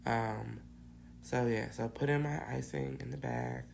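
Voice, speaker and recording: A woman with a raspy, hoarse voice from bronchitis, casually rambling through a cookie-icing tutorial with many ums. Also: extremely low pitch, sing-song, measured pace, darkish tone, slight background noise. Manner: rambling, lots of ums and restarts. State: hoarse, getting over bronchitis. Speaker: cookie decorator.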